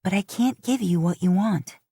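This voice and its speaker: A woman's voice: mid-range voice, dark tone, breathy edge, dry recording. Woman doing a firm, determined young tribeswoman. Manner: firm, measured.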